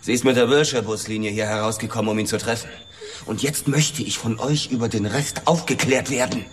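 An actor playing a hard, bitter police detective in a radio drama, questioning in a confrontational, demanding way. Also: male voice, medium pitch, fairly quick, in a large hall, close to an everyday voice. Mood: accusing.

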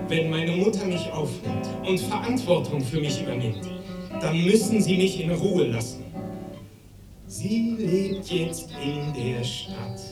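A homeless former asylum inmate , acted, delivers a deliberate radio monologue. The voice is slow and distant in a roomy space, with loud guitar music behind. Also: mid-range voice, lightly acted.

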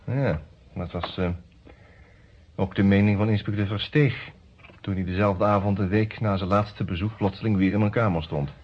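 An actor in a Dutch radio detective drama playing a composed, businesslike defence lawyer with a measured, formal manner. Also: male voice, fairly low pitch, steady tone, husky. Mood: reflective narration. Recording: muffled old radio play recording.

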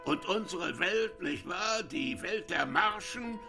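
Gravelly old father, slow and brooding. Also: high-pitched, lively intonation, bright, forceful tone, soft background music, exaggerated voice. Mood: scornful, agitated. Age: older. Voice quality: gravelly, husky voice. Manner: slow, brooding, halting with repeated words. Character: bitter, ailing old dike reeve, brooding father. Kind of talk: German radio drama acting.

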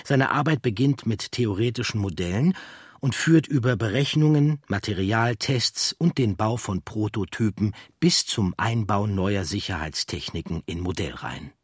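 A man reading scripted documentary narration in a measured, factual way. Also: on the low side, animated, breathy edge, dry recording, studio quality.

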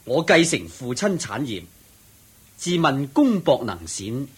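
Husky, courteous wealthy Cantonese householder. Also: male voice, medium pitch, sing-song, full character voice. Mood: courteous, humble. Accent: Hong Kong Cantonese. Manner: courteous, measured. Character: wealthy, pious, courteous male householder giving alms. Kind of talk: radio drama acting.

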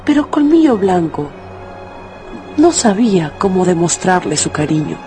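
A male narrator telling a dramatised story for radio in a measured, expressive way. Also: high voice, over music.

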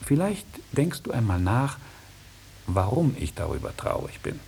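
A hissy old radio recording carries an ancient dragon, sly and melancholy. He declaims slowly, lurching dramatically between hushed tones and loud proclamations, mocking and all-knowing in radio-play fashion. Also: medium pitch, big pitch swings, gravelly. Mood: sad, stern. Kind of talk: 1950s German radio play acting.

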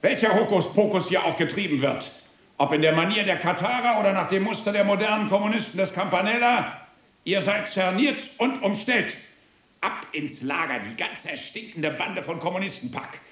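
A bullying raid leader who barks orders in a high, commanding voice. The delivery is declamatory radio play acting, ringing in an echoey room. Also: male voice, expressive, normal speed, fairly bright tone. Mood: menacing, commanding. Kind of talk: theatrical radio drama acting.

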